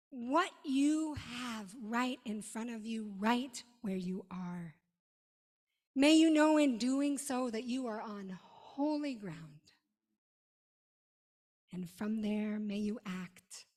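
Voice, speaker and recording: A woman rabbi giving a sermon in an emphatic manner, with dramatic pauses and short repeated phrases. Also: high-pitched, darkish tone. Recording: long reverberant hall.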